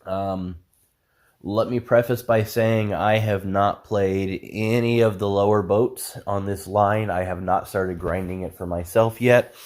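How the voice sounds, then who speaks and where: Deep-voiced congested man reviewing a game. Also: measured pace. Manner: relaxed, many ums, self-deprecating. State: congested, nasal. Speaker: gaming channel host. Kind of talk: casual game review commentary.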